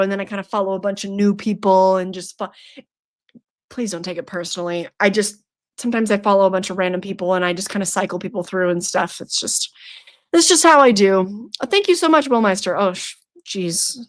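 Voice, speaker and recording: Chatty young woman streamer. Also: medium pitch. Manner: chatty, playful, laughs often, teasing. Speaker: streamer hosting a horror trivia night. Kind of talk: casual live-stream chat reading the chat.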